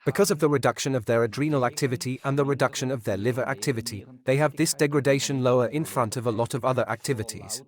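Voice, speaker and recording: A man's voice: mid-range voice, quick pace, hardly any pauses. A man speaking as a doctor being interviewed, explaining in an assertive, opinionated way.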